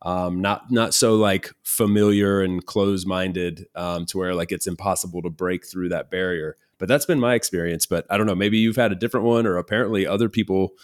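Deep-voiced man, halting casual chat. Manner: hesitant, restarts, many fillers.

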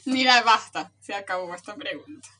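A woman's voice: mid-range voice, sharp tone. A young woman with a Colombian accent in a casual, playful chat, often breaking into giggles. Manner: giggly, playful, slow-spoken. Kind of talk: casual chat game with a friend.

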